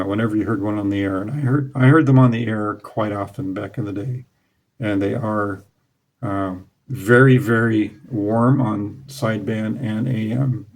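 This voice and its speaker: A middle-aged man with a fairly low voice talks casually at a desk mic in a small room. He sounds relaxed and knowledgeable, pausing on fillers as he goes. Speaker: radio hobby host. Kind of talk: casual talk over slides.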